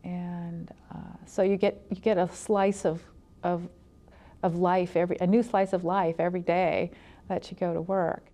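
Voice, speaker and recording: Low-pitched, mellow-voiced woman instructor. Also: level tone, moderate pace. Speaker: college interpreting instructor. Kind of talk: explanatory interview talk.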